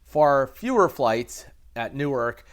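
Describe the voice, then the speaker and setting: American man, halting expert explainer, medium pitch. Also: very animated, clear, resonant tone. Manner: halting, repeats words, hedges and qualifies as he explains. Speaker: expert guest, aviation reporter. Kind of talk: conversational interview analysis.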